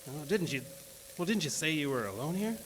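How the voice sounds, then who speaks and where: A man's voice: fairly high pitch, sing-song, fairly quick. An actor playing a jittery, weary oil-field geologist in a horror audio drama, hesitant and repeating his words. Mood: uneasy, puzzled. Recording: noisy track with ambient sound.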